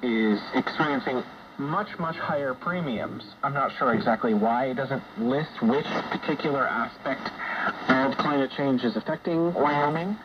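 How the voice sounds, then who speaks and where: Dull shortwave radio sound, clear background noise, male host, measured explaining, conversational. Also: mid-range voice. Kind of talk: conversational talk radio discussion.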